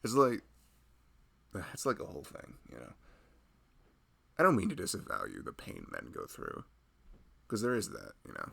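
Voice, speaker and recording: Devoted husband, played by a male actor, ASMR roleplay: soft-spoken, casual and rambling, lively intonation with a crackly edge. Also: low voice, moderate pace, gravelly, pauses often, husky, heavily acted. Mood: earnest. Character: loving, protective husband of a pregnant wife. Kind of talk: intimate ASMR roleplay, casual improvised chat.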